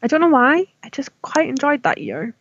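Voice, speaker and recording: A woman's voice: sits high, very animated, normal speed, no room sound. Bubbly woman on a dull line. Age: 30s. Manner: giggly, enthusiastic. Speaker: guest, hand dyer. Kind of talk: casual chat.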